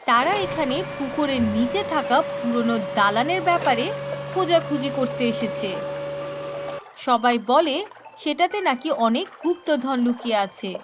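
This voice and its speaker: A woman narrating a cartoon story in a clear storytelling manner. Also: high voice, animated, a little slow, noisy background.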